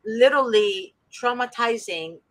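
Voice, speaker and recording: A woman's voice: on the high side, unhurried, no room sound. A woman hosting a casual talk show, speaking passionately and turning preachy as she cites scripture.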